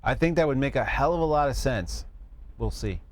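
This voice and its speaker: A man's voice: medium pitch, lively intonation, fairly quick. A man co-hosting a casual podcast, laughing often and tossing in short agreeing replies and jokes. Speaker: podcast co-host. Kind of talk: casual chat.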